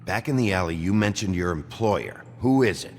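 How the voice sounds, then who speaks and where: An actor playing a gruff, brooding detective sheriff in a video game, speaking in terse, blunt, threatening lines with a gravelly voice. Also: male voice, low voice, lively intonation, moderate pace, slight background noise. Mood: menacing, pressing. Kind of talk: dramatic video game acting.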